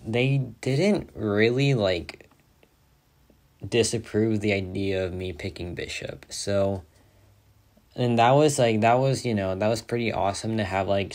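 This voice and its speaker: Hoarse, tired young man, excited. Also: fairly low pitch. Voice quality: raspy. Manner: excited, rambling, says "like" often. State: hoarse, tired from a long day of talking. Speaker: mobile game content creator. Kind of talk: casual gameplay commentary.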